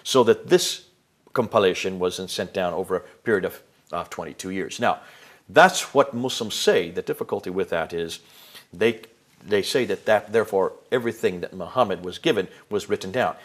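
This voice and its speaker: A man's voice: deep voice, animated, a little fast. A man giving an explanatory lecture to an interviewer, emphatic, with rhetorical questions and frequent fillers and restarts.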